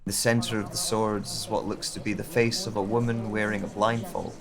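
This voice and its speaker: A man playing a brooding wanderer with lost memories, narrating an audio drama in the first person in a measured, reflective way. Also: fairly low pitch, level tone, natural voice. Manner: measured, reflective, with deliberate pauses. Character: brooding amnesiac wanderer narrating his journey. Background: chatter of other voices.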